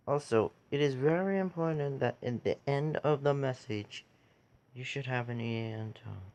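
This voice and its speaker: A man giving a casual how-to tutorial in a halting way, pausing between short phrases. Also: medium pitch, a little mellow. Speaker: tutorial video creator.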